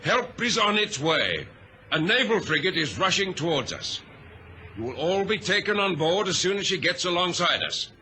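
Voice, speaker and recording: An actor in a vintage radio drama playing an authoritative ship's captain who gives clipped, formal orders. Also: male voice, high-pitched, big pitch swings, moderate pace, pushed and bright, heavily acted. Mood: commanding, reassuring. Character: commanding male captain of a troubled passenger ship, privately uneasy. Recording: old radio drama recording, faint noise.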